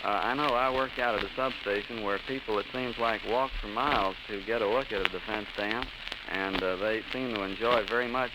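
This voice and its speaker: An American man hosting a 1930s radio interview, polite and halting in his delivery, on an old recording. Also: lowish voice, heavy background noise.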